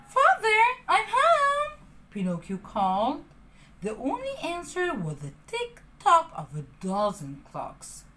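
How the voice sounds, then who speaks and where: A woman reading a children's storybook aloud, animated and addressing her young listeners. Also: medium pitch, measured pace, slight background noise.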